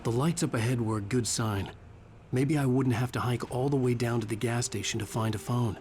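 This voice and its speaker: An actor playing a troubled novelist, narrating his own thriller ordeal in a brooding, measured way. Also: medium pitch, darkish tone, a little hiss or hum, lightly acted. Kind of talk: scripted first-person game narration.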